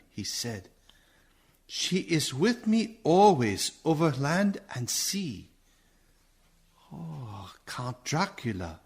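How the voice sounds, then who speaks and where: An actor playing an earnest, urgent doctor who leads a vampire hunt in a radio play, grave and deliberate. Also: male voice, fairly high pitch, breathy edge, full character voice.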